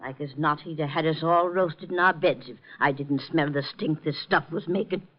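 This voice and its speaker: High-pitched cranky landlord played by a man. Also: normal speed, close to an everyday voice. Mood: indignant, grumbling. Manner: folksy, grumbling, uneducated speech. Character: cranky, indignant male rooming-house keeper. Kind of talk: 1950s radio drama acting. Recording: muffled 1950 radio broadcast recording.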